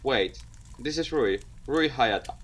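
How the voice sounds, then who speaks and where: A game streamer with a mid-pitched voice reading a story game aloud expressively, with asides and the odd stumble over words. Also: expressive, measured pace, a bit bright, slightly rough. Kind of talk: let's play narration with reactions and asides.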